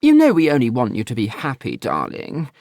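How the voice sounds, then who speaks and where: A man reading an audiobook and voicing a genteel, fussing older mother, slow and cloying. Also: medium pitch, wildly swooping pitch, darkish tone, dry recording, studio quality.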